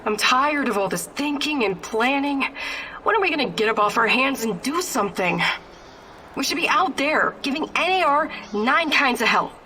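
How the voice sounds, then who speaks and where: A woman's voice: sits high, lively intonation, normal speed, bright, forceful tone, slight background noise, rough recording. An actress playing a fiery, impatient young female rebel fighter in a video game, blunt, combative and bitter. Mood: impatient, angry. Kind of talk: video game character acting.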